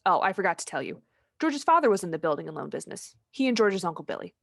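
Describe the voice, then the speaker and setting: A woman playing a brisk, authoritative senior angel in a radio play, businesslike as she tells a story. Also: on the low side, big pitch swings, creaky, no echo, studio quality, full character voice. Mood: storytelling. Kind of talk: old-time radio play acting, storytelling.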